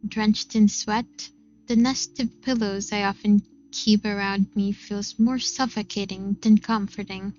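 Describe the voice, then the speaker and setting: A woman reading a visual novel aloud in an expressive, unhurried first-person narration. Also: medium pitch, dull audio. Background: game music.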